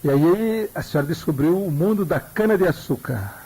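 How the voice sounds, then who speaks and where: High-voiced Brazilian male radio interviewer. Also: lively intonation, normal speed, warm tone, husky, rough recording. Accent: Pernambuco Brazilian Portuguese. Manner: engaged, prompting questions.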